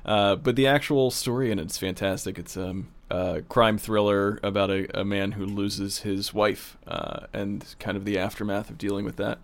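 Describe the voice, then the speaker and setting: A man's voice: fairly low pitch, steady tone, moderate pace, hardly any pauses. A man talking casually on a podcast as a producer and co-host. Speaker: audio drama producer, co-host.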